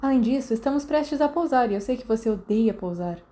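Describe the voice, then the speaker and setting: A woman's voice: on the high side, animated, a little fast, mellow, natural voice. A woman voicing a cocky young schoolboy wizard in an audiobook, playful, teasing and nonchalant. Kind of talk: audiobook character voice.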